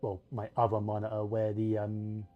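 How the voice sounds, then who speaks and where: A man's voice: fairly low pitch, monotone, normal speed, highs cut off. A man giving casual live gameplay commentary, muttering and thinking aloud as he goes. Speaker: game streamer. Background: faint game music.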